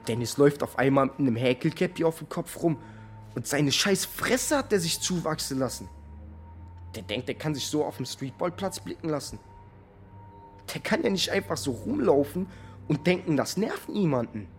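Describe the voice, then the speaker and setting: An actor playing a brash, excitable young street tough in a naturalistic radio drama, speaking in blunt, colloquial slang. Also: male voice, a little high, normal speed, soft background music. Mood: contemptuous. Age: young adult. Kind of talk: interview monologue in a naturalistic German radio drama.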